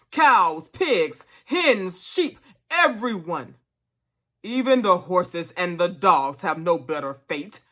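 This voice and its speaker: A reader voicing an elderly boar, a solemn revolutionary orator addressing fellow animals in a storybook, with deliberate, emphatic delivery. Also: mid-range voice, fairly bright tone. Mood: grave, mournful. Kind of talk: dramatic storybook reading of a speech.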